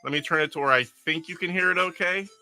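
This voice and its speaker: A man's voice: on the high side, fast talker, bright, forceful tone. A middle-aged man hosting a casual live stream from his attic game room, rambling and chuckling as he plays and reads viewer comments aloud. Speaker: retro game streamer host.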